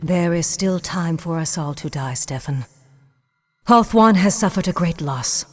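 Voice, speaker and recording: An actress playing a grave, proud female High Elf leader in a fantasy game, with stately, measured delivery. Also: animated, a little mellow, no echo. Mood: grave, mournful. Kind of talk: fantasy game cutscene acting.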